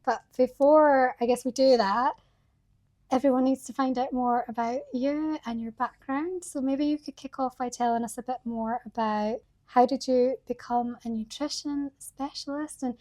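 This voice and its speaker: A woman's voice: high voice, fairly even intonation, normal speed, dark tone. A woman hosting a podcast, chatting enthusiastically and laughing often with her guest. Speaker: podcast host. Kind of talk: warm podcast interview.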